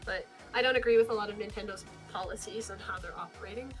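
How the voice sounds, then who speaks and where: A woman with a mid-range voice chats casually on a live game stream. She leans on "like" fillers and trades teasing banter with the others. Faint music sits quietly behind her. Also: mid-range voice, fast talker. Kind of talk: casual gaming stream chat.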